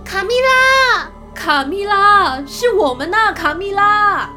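A frightened, frantic woman of a household. The voice is extremely high and piercingly bright, stretched out slowly in radio-drama style. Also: lively intonation, faint music behind, heavily acted. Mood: frantic, pleading.

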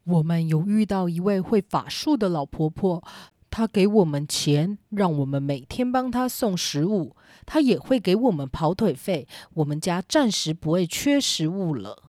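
Earnest young boy for a storybook drama, played by a woman, low and mellow. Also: lively intonation, moderate pace, dry recording, clean studio sound, close to an everyday voice.

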